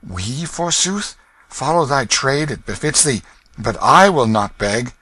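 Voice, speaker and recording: A man's voice: medium pitch, lively intonation, a little slow, pushed and bright, breathy edge, dry recording, voice pushed up, exaggerated voice. A man voicing a proud young king who speaks in a curt, disdainful manner. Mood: haughty refusal. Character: proud, haughty boy king in rags.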